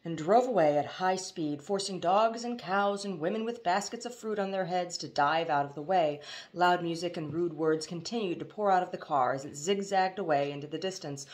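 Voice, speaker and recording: A woman reading a novel aloud as expressive storybook narration. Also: lowish voice. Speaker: audiobook reader.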